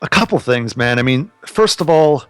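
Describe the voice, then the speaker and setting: A man's voice: mid-range voice, expressive, normal speed, smooth, no echo, faint music behind. A man in his thirties, a podcast guest, talking earnestly and casually about business, swearing now and then. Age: 30s.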